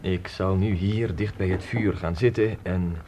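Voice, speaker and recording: An actor playing an inquisitive, courteous Spanish traveller of nearly forty in a radio drama, speaking formally and wordily. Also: male voice, deep voice, steady tone, unhurried, dark tone. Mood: courteous, probing. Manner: formal, wordy, probing. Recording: noisy old radio play recording.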